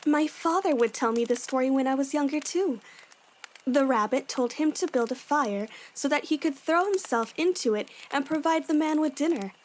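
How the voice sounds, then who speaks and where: A woman's voice: high voice, normal speed, a little hiss or hum, lightly acted, poor audio. A woman playing a bossy but caring young warrior woman in a fan audio drama, with a firm, matter-of-fact manner. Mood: gentle, storytelling.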